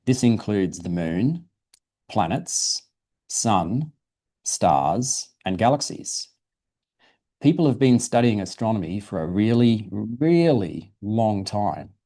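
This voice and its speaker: A man with a fairly low, very mellow voice reads a science storybook to children. He explains things simply, in the manner of a museum presenter at storytime. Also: big pitch swings, takes it slowly. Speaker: guest presenter from a dinosaur museum. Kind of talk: reading a children's science book aloud.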